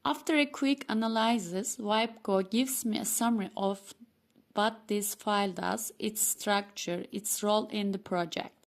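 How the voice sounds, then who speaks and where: A woman whose first language is Turkish, presenting a scripted walkthrough of software she built. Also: medium pitch, unhurried. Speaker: developer demoing her software. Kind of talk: scripted screen-recording walkthrough.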